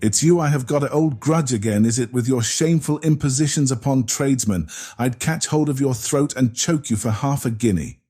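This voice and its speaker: A man voicing a belligerent working-class Londoner, blunt and combative in rough dialect. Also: medium pitch, brisk, dark tone, soft background music, voice pushed up, close to an everyday voice. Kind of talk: audiobook character reading.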